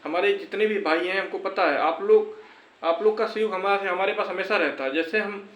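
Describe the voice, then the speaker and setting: A man's voice: high voice, moderate pace, fairly bright tone. A young man gives an informal motivational address, earnest and reassuring, repeating phrases for emphasis. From India. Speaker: exam-prep vlogger. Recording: echoey room with faint background noise.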